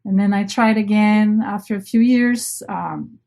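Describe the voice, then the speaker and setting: A middle-aged woman who grew up in Brazil with Portuguese as her native language speaks as an interview guest. Her voice is mid-pitched, and she talks informally, rephrasing as she goes. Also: a little slow, creaky, dark tone. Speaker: interview guest, marine biologist. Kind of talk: casual personal storytelling.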